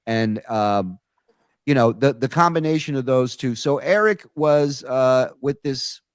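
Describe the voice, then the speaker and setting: A man's voice: mid-range voice, a little slow, clear, resonant tone, no room sound, clean studio sound. A man hosting a casual talk show, telling stories in a blunt, profane way. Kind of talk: casual conversational storytelling.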